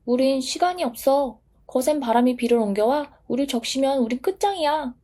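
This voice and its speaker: A woman voicing a group of tiny, cheeky specks of dust that chatter and bicker in high squeaky voices. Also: expressive, a little fast, dark tone. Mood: impatient.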